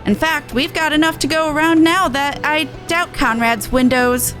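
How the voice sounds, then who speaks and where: Brisk, earnest charity merchant for a game, high female voice, colloquial and plain-spoken, played by a woman. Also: steady tone, a bit bright, soft background music. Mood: determined. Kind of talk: reading video game dialogue in character.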